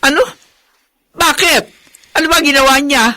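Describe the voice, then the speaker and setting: A woman playing a doting, easily worried middle-aged mother and grandmother in a Filipino radio drama, with expressive, exclaiming delivery. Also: high voice, moderate pace, no room sound. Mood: alarmed, worried. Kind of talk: Filipino radio melodrama acting.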